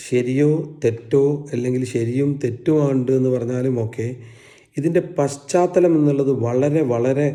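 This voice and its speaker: A man giving informal opinion commentary, speaking emphatically and deliberately with English words mixed in. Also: mid-range voice, dark tone.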